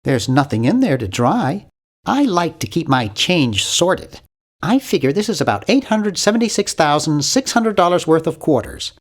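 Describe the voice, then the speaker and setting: A bookish young nerd from an adventure game, timid and polite. His nasal voice swings widely up and down in pitch, and he sounds awkward, fussy and earnest in every line. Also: big pitch swings, moderate pace. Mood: hesitant, observant. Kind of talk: video game character voice lines.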